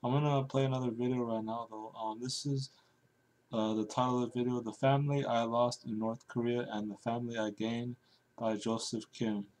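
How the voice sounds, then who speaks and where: Guy hosting his stream, rambly and offhand, pretty monotone. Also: mid-range voice, normal speed, poor audio. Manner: rambling, offhand, flat delivery. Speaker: livestream host. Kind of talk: casual livestream chat.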